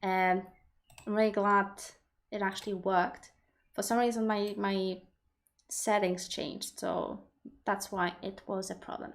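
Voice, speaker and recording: A woman's voice: mid-range voice, little pitch movement, unhurried. A woman with a Polish accent, a native Polish speaker, hosting a livestream and explaining ideas in a thoughtful, reflective way while answering viewer questions. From Poland. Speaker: livestream host. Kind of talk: live Q&A talk.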